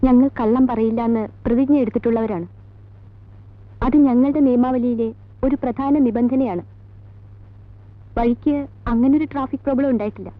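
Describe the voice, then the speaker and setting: Casting: actress playing a self-possessed woman with a high voice, thin phone-like old film sound. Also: steady tone, normal speed, long pauses, no echo, natural voice. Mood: guarded, firm. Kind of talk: 1980s Malayalam film acting.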